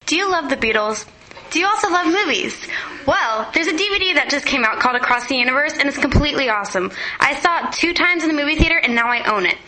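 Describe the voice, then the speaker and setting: A woman's voice: high-pitched, lively intonation, quick pace, bright, forceful tone, poor audio. A teenage girl giving an enthusiastic, informal movie review. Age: teen.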